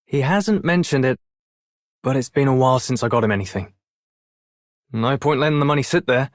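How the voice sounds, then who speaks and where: An actor plays a considerate, practical young man in a video game. His mid-pitched voice is recorded close and dry, with a friendly conversational delivery. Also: fairly quick, no room sound, natural voice. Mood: thoughtful.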